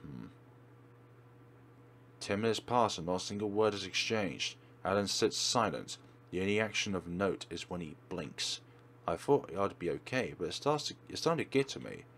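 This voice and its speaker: A man's voice: sits low, expressive, normal speed, fairly bright tone, a little hiss or hum. A man reading aloud a teenage girl's inner narration from a game in his own voice, with small restarts. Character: teenage girl narrator, average and nosy. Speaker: Let's Play reader.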